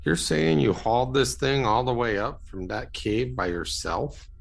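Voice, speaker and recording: Man playing a husky, blunt American skeptic. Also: mid-range voice, a little slow. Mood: skeptical. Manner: doubting, blunt. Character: skeptical plain-spoken man questioning a hermit's story. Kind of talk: radio drama acting.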